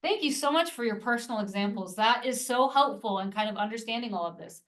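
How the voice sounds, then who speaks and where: A woman trainer guiding a group with a calm, encouraging manner. Her voice sits a touch high and is caught from a distance by the room mic. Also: quick pace. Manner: encouraging, reassuring. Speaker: tutor trainer. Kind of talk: leading a training session.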